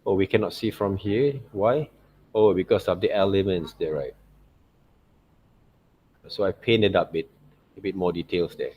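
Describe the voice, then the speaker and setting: A man teaching digital illustration in an informal software demonstration, thinking aloud and often checking in with okay and right. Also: low-pitched, a little slow, pauses often, husky.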